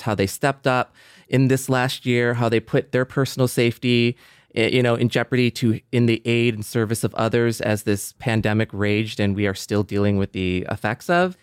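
An American man speaking sincerely and reflectively in conversation, in a measured way. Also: medium pitch, clean tone, dry recording, clean studio sound. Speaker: podcast panelist. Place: remote video chat recording.

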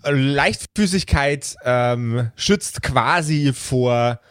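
A man co-hosting a role-play podcast, explaining things casually in a halting, informal way. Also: medium pitch, clean tone, no echo, clean studio sound. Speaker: podcast co-host explaining game rules. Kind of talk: casual chat.

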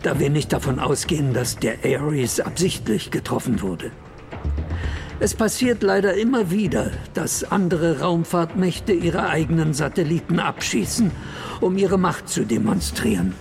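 A space agency director in an audio drama. His fairly high voice has a heavy creak. He speaks formally and with measure, like an official at a press conference, over clear music. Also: lively intonation, a little slow. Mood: diplomatic. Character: male space agency director announcing bad news and resignation.

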